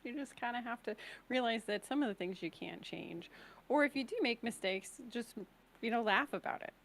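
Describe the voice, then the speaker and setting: A woman's voice: a little high, lively intonation, moderate pace, vocal fry. A woman with a nasal voice on a casual podcast, chatting in a self-deprecating way. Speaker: podcast co-host.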